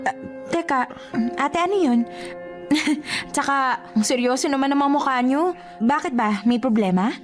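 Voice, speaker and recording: A bubbly younger sister with a high voice and Filipino accent. She is chirpy, inquisitive and unsuspecting, in a radio drama with music beneath. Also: a little slow, pauses often. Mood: puzzled. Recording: music under the voice.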